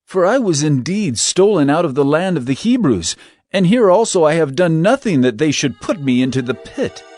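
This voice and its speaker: A man playing an upright, devout young Hebrew servant in a dramatized scripture reading, speaking in an earnest, measured way. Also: fairly high pitch, sing-song, a little mellow, no room sound, clean studio sound, faint music behind. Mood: earnest, gentle.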